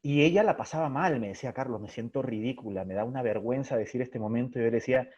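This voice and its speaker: A man talks as an interview guest, thinking his way through each point. His voice sits at an average pitch and his delivery is expressive and anecdotal. The sound is muffled and lo-fi, as from an internet call. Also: fast talker. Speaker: interview guest, theatre playwright and director. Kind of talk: reflective interview conversation.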